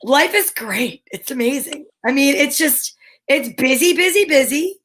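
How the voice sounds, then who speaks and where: A woman with a high, sharply bright voice co-hosts a radio show. She chats casually, full of enthusiasm, and likes to tease. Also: lively intonation, unhurried. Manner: enthusiastic, teasing. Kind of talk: casual chat and banter.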